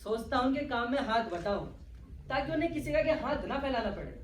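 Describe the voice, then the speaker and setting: An actor playing a dreamy, stubborn young elder brother in love in a stage play, with dramatic, flowery delivery. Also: male voice, very high-pitched, animated, a little fast. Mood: dreamy, resolute. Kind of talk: Hindi-Urdu stage play acting. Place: stage of a theatre hall. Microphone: far from the actors. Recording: echoey hall, distant mic, faint noise.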